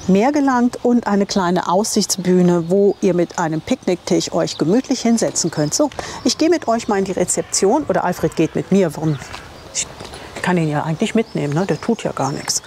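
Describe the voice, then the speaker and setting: A woman's voice: medium pitch, mellow. A woman gives a chatty, enthusiastic guided tour to camera as a travel vlogger, teasing now and then, on a clip-on microphone. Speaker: camper van travel vlogger and presenter. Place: campsite, outdoors and in small tiled rooms. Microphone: clip-on lapel microphone. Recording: clear ambient noise on location.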